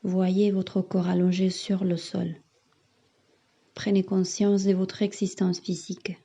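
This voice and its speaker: A woman yoga teacher leading a guided meditation in soothing, measured instructions with long pauses, on a slightly muffled recording. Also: on the low side, flat delivery, creaky, dark tone, slightly rough.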